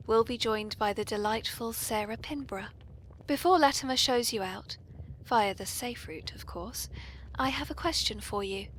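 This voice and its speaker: A woman's voice: on the high side, a bit bright. A British woman hosting a comic tea-party podcast in a polite, genteel, playfully arch manner. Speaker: podcast host. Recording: poor, noisy amateur podcast recording.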